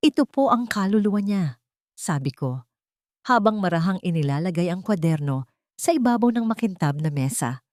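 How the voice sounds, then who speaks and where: A woman reading a scripted first-person dramatic story as narrator, in an earnest, deliberate way. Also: deep voice, darkish tone, no echo, clean studio sound.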